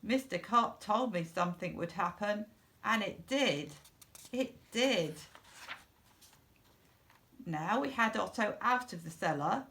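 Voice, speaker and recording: Woman, storybook narrator, slow, creaky, expressive, deliberate phrasing. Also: mid-range voice. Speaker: storybook reader.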